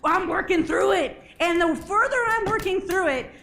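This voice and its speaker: A woman speaking on a conference stage, giving an animated, jokey motivational talk with a slightly hoarse voice and frequent questions to the audience. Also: very high voice, moderate pace, a little hiss or hum. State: a bit hoarse, clears throat.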